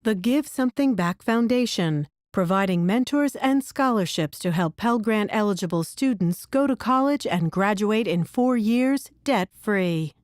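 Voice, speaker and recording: A woman's voice: mid-range voice, expressive, unhurried, hardly any pauses, no echo. Woman reading a dry sponsor message. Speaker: sponsor announcer. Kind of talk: scripted sponsor read.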